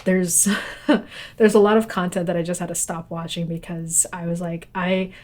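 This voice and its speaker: Woman, slightly low voice, casual podcast co-host, halting informal phrasing, conversational. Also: flat delivery, darkish tone.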